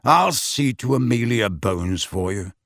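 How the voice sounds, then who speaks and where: A man's voice: high-pitched, wildly swooping pitch, slow pace, vocal fry, sharp tone, breathy edge, dry recording, studio quality, voice pushed up, exaggerated voice. A man voicing a blunt, grim and protective man in an audiobook, with an abrupt, terse manner.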